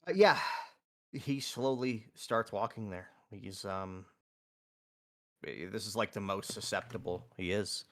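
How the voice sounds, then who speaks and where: A man playing a tabletop roleplaying game, explaining his character in a halting, earnest way and often repeating words. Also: on the low side, a bit bright. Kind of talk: casual in-game roleplay discussion.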